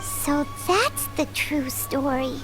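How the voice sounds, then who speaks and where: A woman voicing a tiny, childlike, curious companion creature in a game, with a squeaky cartoonish voice. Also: animated, slow pace, over music, low-quality recording. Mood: curious wonder.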